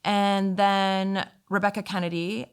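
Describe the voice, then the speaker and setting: A woman from Italy talking as an interview guest, telling stories in a casual, animated, informal way. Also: medium pitch, slow, clean tone. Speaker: podcast guest, fitness instructor. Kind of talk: casual conversational storytelling.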